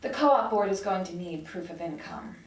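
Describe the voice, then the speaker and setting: An actress playing a businesslike, doubtful realtor with a matter-of-fact delivery, at a TV script table read. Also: female voice, lowish voice, animated, normal speed, slight background noise, close to an everyday voice. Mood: brisk, doubtful. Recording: roomy recording, mic at a distance.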